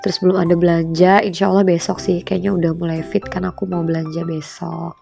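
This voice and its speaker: A woman's voice: on the low side, flat delivery, fairly quick, gravelly, warm tone, faint music behind. A young woman narrating a casual home vlog in a chatty way, her voice a little stuffy from a cold. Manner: chatty, fillers like gitu and guys. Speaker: vlogger, homemaker.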